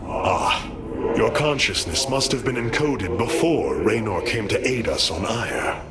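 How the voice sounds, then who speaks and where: A solemn, noble alien warrior leader speaks in a deep register. His delivery is formal and grave, with wide, dramatic swings of tone. Loud orchestral game music plays behind him. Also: animated, measured pace. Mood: gentle, solemn. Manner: formal, stately, grave speech. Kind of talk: dramatic game cinematic acting.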